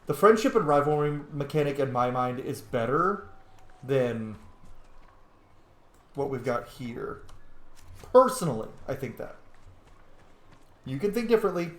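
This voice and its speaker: A man's voice: medium pitch, big pitch swings, unhurried, long pauses, reverberant. A man giving casual, rambling let's play commentary as he games, with informal language and some swearing. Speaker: gaming video host.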